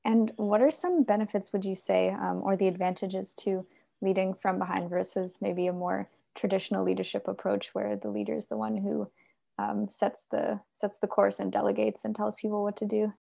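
Gravelly, mellow-voiced woman interviewer. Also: mid-range voice, flat delivery. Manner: measured questions with frequent "um" pauses. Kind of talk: conversational interview.

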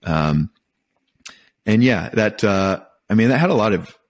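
A man from Michigan talking casually and reflectively as a podcast guest, feeling out his phrasing in a halting, thoughtful way. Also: fairly low pitch, expressive. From Michigan, United States. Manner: thoughtful, halting, rephrases as he goes. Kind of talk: casual reflective interview talk.

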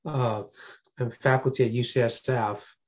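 An older man from San Francisco whose first language is Toishanese and Cantonese, introducing himself as a physician at a panel, talking in a rambling way with many pauses. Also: medium pitch, steady tone, slightly rough, dull audio. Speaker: physician guest.

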